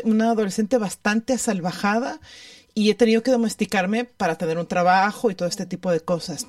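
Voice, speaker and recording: A woman's voice: medium pitch, darkish tone, no echo, studio quality. Reflective woman, recorded at a distance. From Ecuador. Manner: halting, thoughtful, emphatic repetition, question tags. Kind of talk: reflective personal conversation.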